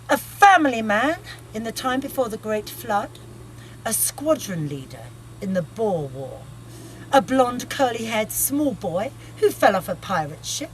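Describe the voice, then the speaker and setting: A woman's voice: on the high side, a little hiss or hum, poor audio. A woman playing a brash, irritable narrator in a comedic book reading, sardonic, bossy and blunt. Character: brash, irritable sweary woman musing on past lives.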